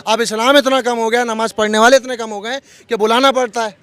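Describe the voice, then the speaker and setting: A man's voice: very high-pitched, steady tone, brisk, fairly bright tone, clear, resonant tone. A man being interviewed outdoors in a heated street debate, arguing with repeated phrases and rhetorical questions.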